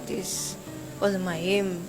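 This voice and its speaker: A young Nigerian woman, a university student, talking casually in an interview and acting out shouted quotes. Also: on the low side, takes it slowly, music in the background. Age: young adult. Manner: animated, acts out shouted quotes.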